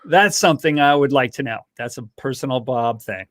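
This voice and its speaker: A man's voice: medium pitch, brisk, a bit bright. A man hosting a live-stream podcast, asking a guest questions and joking with the chat in a playful way. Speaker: host of a fan podcast. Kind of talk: casual live-stream interview.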